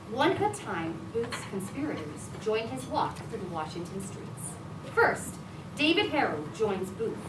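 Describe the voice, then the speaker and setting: Woman reading scene directions at a live play reading, bit high, echoey room with clear background noise. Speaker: stage directions reader. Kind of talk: scripted narration at staged reading. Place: indoor restaurant staged reading. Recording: echoey live restaurant, distant, noisy.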